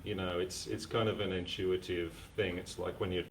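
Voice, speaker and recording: Low-voiced guy cohosting a live stream, lots of ums, dry humor, sounds a bit away from the mic. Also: level tone. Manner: easygoing, halting, with many "um"s and dry jokes. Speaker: co-host. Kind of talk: casual live-stream chat. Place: community repair centre. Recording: distant mic with faint background noise.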